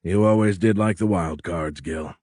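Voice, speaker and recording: Sharp submarine skipper, acted by a male narrator. Low, dry, teasing, understated. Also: moderate pace, husky, dry recording. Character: slim balding sharp-eyed submarine captain, dry, teasing.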